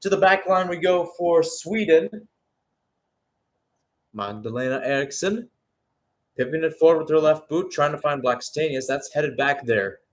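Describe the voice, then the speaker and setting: Higher-voiced man calling live football. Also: a little slow, pauses often. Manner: announcer-like, calling play in short phrases. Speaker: football play-by-play commentator. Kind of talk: live radio-style sports commentary.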